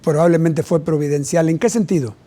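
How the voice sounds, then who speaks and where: A man's voice: a little high, fairly quick, dark tone. A man hosting a televised interview, asking short follow-up questions and echoing his guest's answers. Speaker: interviewer and show host. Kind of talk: warm conversational TV interview.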